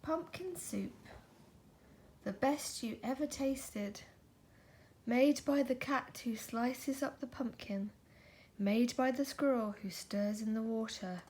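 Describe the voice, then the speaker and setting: A woman reading a picture book aloud in a soft ASMR style, gentle and coaxing, slipping into breathy whispers. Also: fairly high pitch.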